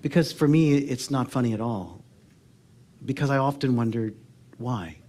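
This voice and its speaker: A man in his sixties with an American accent speaks as a public speaker on stage. His pitch is middling. He tells personal stories in an earnest, reflective way, leaving pauses and softening the ends of his phrases. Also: expressive. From Oakland, California, United States. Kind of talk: personal storytelling talk on stage. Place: theatre stage before a seated audience.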